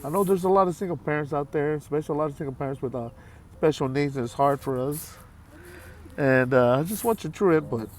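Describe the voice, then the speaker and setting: A man in his late fifties talking candidly to his viewers in a halting, heartfelt way, with many pauses and repeated phrases. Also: a little high. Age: 50s. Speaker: vlogger. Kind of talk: candid talk to camera.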